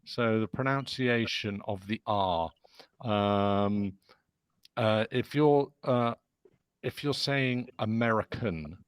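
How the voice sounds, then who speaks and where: Patient low-voiced male English tutor. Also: smooth, no echo. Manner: patient, explains slowly. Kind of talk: online tutoring call. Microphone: video call.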